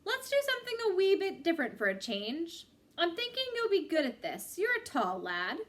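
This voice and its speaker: A woman's voice: extremely high pitch, huge pitch swings, moderate pace, pitched above the performer's own voice, heavily acted. A woman voicing a friendly, encouraging Irish male aid worker in a storybook, with a kindly, folksy manner. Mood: warm, encouraging.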